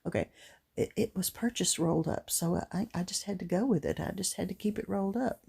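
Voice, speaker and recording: A middle-aged woman with a gruff voice giving a chatty, rambling, unhurried voice-over for a craft tutorial. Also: fairly low pitch, mellow. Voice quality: gruff, gravelly. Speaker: craft vlogger.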